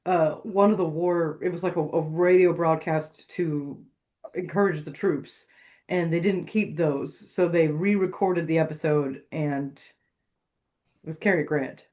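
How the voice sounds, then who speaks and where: A podcast co-host in casual conversation, easygoing, gently correcting and teasing. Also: medium pitch, steady tone, a little slow.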